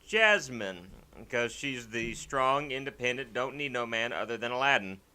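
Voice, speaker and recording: Male gaming host, very bright and clear, casual joking rambler. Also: mid-range voice, expressive, moderate pace. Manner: rambling, joking, repeats words. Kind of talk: casual let's play commentary.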